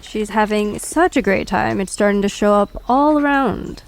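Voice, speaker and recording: A woman playing a snarky, deadpan, teasing companion in an audio drama, with a creaky voice. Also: mid-range voice, moderate pace, a crowd in the background, natural voice.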